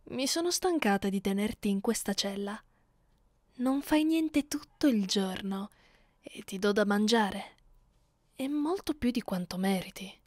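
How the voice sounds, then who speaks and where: A woman voicing a haughty, cruel, centuries-old vampire mistress in an ASMR roleplay, imperious and taunting in a measured way. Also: mid-range voice, a little mellow, no room sound, clean studio sound. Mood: commanding, disdainful.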